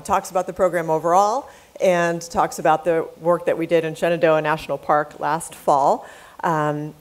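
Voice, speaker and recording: The woman on an arts summit panel, low-voiced and earnest, informally introducing her youth program to the room. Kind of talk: informal spoken presentation. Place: live panel event before an audience.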